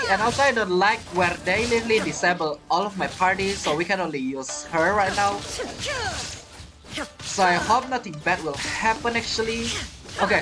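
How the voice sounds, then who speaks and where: A game streamer giving casual, reactive let's-play commentary in a chatty way, often repeating phrases. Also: medium pitch.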